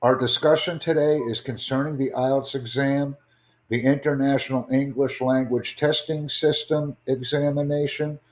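A male tutor hosts a teaching podcast, answering listeners' questions. He speaks slowly and formally in a measured, mid-range voice, often putting rhetorical questions to his audience. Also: mid-range voice, steady tone, a little mellow. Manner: slow, formal, deliberate, asks rhetorical questions. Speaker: IELTS tutor and podcast host.

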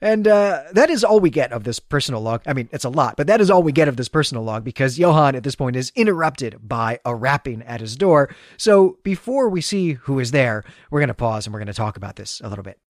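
A man's voice: mid-range voice, animated, fast, hardly any pauses, dry recording, clean studio sound. A man co-hosting a literary podcast, recapping a story in an articulate, explanatory way. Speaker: podcast co-host. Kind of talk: literary podcast recap with read-aloud passages.

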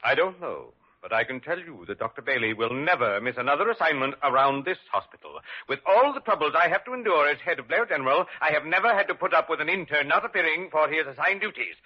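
An actor playing a stern, fussy hospital chief in a radio drama, speaking in a pompous, formal, scolding way. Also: male voice, fairly high pitch. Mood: indignant, formal. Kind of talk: old-time radio drama acting. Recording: dull old radio broadcast recording.

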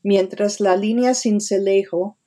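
A woman academic giving unscripted interview answers, thoughtful and halting as she explains, pausing between phrases. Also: medium pitch, steady tone, warm tone. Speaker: interviewed author and academic researcher.

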